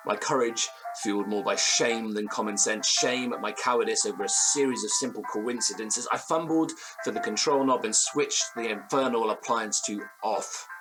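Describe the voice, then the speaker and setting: An actor playing an anxious old-fashioned gentleman, recounting a ghostly ordeal in formal, florid, theatrical language for a Gothic audio drama. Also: mid-range voice, lightly acted, rough recording. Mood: dread, indignation.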